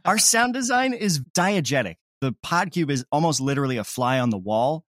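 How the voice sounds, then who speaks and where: Wry joking guy chatting on a podcast, lively up and down voice, dry recording. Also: on the high side, normal speed, no room sound. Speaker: comedy podcast creator and guest. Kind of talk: casual chat.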